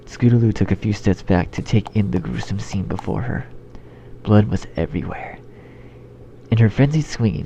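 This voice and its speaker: A man's voice: lowish voice, dark tone, a little hiss or hum, rough recording. A man reading a horror story as narrator in a scripted style. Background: background music.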